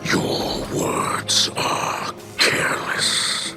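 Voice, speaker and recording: An actor playing a stern, noble alien leader in a video game, speaking in a solemn, measured, formal way with a breathy rumble. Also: male voice, natural voice. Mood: grave, guarded. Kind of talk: video game character acting. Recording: music behind the voice.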